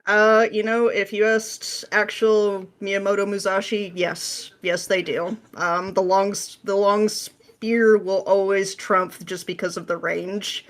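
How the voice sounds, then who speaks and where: A woman being interviewed as a guest, chatting casually in a jokey, animated manner. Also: medium pitch, slow, hardly any pauses. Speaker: podcast guest, script writer.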